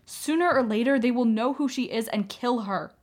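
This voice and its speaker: A woman's voice: fairly high pitch, moderate pace, lightly acted. A woman acting in a radio play as an anguished, defiant young Armenian mother, pleading with feeling. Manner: impassioned, pleading.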